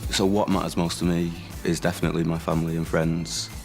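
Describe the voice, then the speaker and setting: A young man in his twenties speaks frankly and offhandedly about his values. His voice is low and fairly flat, with faint music in the background. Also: fast talker, creaky. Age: 20s. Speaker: university student. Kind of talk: casual vox pop.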